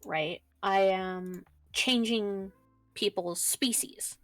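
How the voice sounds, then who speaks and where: A woman co-streaming, talking casually in a halting way with many ums and pauses. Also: medium pitch, dry recording, faint music behind. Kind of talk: casual chat on a writing stream.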